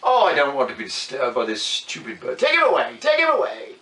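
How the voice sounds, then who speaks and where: A man's voice: mid-range voice, sing-song, brisk, sharp tone. A man voicing a pompous, cruel king who barks dismissive orders. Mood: scornful. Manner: haughty, dismissive, barking orders. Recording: echoey room, mic at a distance.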